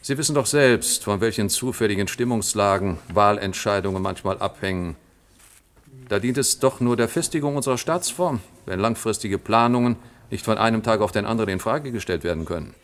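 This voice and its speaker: An actor playing a smug, scheming middle-aged general in a German science-fiction radio drama, with an oratorical, condescending manner. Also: male voice, lowish voice, brisk, lightly acted. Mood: smug, confiding.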